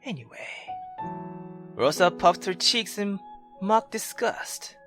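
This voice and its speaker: Lively, slow-reading woman gaming host. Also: medium pitch. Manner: expressive, swearing, exclamatory asides. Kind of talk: visual novel read-aloud with casual commentary. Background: faint game music.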